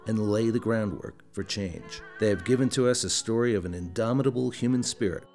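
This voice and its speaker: A man's voice: on the low side, fairly quick, a little mellow, soft background music. A man reading scripted promotional narration as a trailer announcer.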